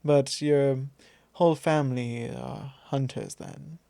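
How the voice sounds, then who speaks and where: A man acts out a vampire lord in an intimate ASMR roleplay, teasing and quietly possessive as he probes his thrall. His voice is soft and dark with a gravelly fry, and he drawls his formal words very slowly. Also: medium pitch, fairly even intonation, no room sound. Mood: teasing, curious.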